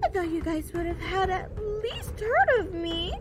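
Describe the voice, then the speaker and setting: A woman's voice: very high voice, very animated, moderate pace, very noisy. A woman voicing a feral female Fae executioner hound in a fantasy audio drama, mocking, theatrical and menacing with growled threats. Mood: mock hurt, boastful.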